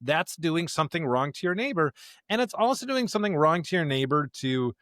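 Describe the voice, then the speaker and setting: A man's voice: on the high side, very animated, a little fast, fairly bright tone, dry recording. A man hosting a podcast in a conversational, informal and emphatic way, like a pastor talking scripture with a colleague.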